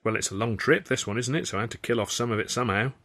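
A young woman in an audiobook story, voiced by a male narrator, speaks briskly and earnestly. She is chatty yet guarded, with a firm edge to her words. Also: medium pitch, a bit bright.

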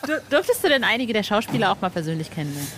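A woman's voice: mid-range voice, sing-song, very fast, a bit bright, slight background noise. A woman interviewing in a casual podcast chat, informal and enthusiastic like a fan.

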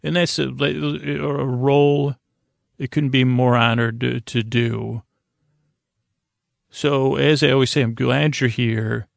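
Gravelly, creaky man rambling softly along. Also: mid-range voice, steady tone, no room sound. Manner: meandering, halting, repetitive, trails off mid-sentence. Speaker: podcast host. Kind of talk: rambling improvised sleep podcast monologue.

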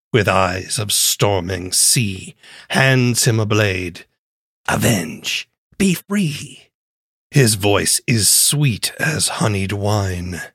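Grave bard, theatrical, scripted rhyming narration, low voice, drawn-out pacing. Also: male voice, vocal fry, a bit bright, husky, no room sound, studio quality, exaggerated voice. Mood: ominous. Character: solemn male bard narrating a dark vengeance tale.

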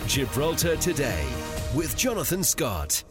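Station jingle guy, very animated announcer voice, music playing behind him. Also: fairly high pitch, a little slow. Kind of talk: scripted programme jingle announcement.

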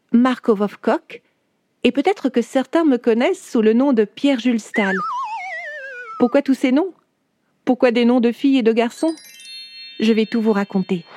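A woman voicing a 19th-century woman writer who tells her own life story to children in a chatty, warm way, speaking directly to the listener. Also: a little high, close to an everyday voice. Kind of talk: first-person storytelling for children.

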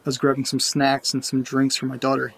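A man's voice: medium pitch, completely flat delivery, brisk, creaky, natural voice. A man playing an anxious, earnest father who has just moved house, in a naturalistic audio drama. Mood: reflective. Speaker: narrator and lead character of audio drama. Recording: roomy echo, faint background noise.

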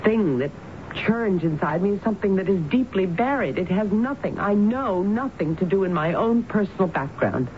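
An actress playing a troubled, formal married woman under hypnosis in a radio drama, speaking in a halting, grave manner. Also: mid-range voice, lively intonation, lightly acted. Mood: troubled, pleading. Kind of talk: 1970s radio drama acting. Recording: noisy, muffled phone-like old radio broadcast.

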